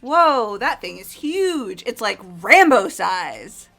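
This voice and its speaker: A woman's voice: a little high, big pitch swings, slow, fairly bright tone, faint music behind, full character voice. A woman voicing an upbeat, blunt, teasing teenage girl in a game playthrough. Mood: upbeat, direct. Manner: direct, teasing. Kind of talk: voiced visual novel game playthrough.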